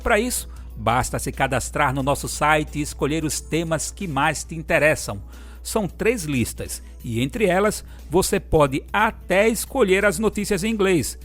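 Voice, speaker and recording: A man's voice: on the high side, animated, a little slow, a bit bright, faint music behind. A man reading an upbeat, chatty scripted promo for the program. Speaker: program announcer.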